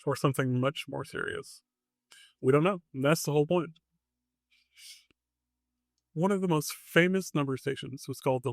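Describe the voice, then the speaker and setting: Male podcast host, medium pitch. Scripted suspense storytelling, deadpan wit, tight dry recording. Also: vocal fry, no room sound.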